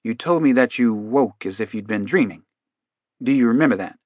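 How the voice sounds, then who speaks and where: Man as a quiet, steady hypnotist doctor. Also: mid-range voice, normal speed, no echo, muffled recording, lightly acted. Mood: gently probing. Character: calm, authoritative male doctor conducting hypnosis.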